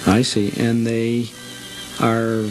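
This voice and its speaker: A man's voice: mid-range voice, little pitch movement, extremely slow, rough recording. Male interviewer over background music. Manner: conversational, playfully prompting, often seeking confirmation. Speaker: host interviewer. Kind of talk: guided tour interview with short prompting questions. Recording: music playing behind the voice.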